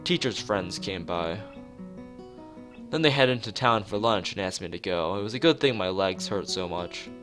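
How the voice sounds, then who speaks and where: A man's voice: on the low side, expressive, moderate pace, lightly acted. A man voicing a detached teenage girl artist in a visual novel, vague, literal and noncommittal. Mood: listless, vague. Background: guitar music.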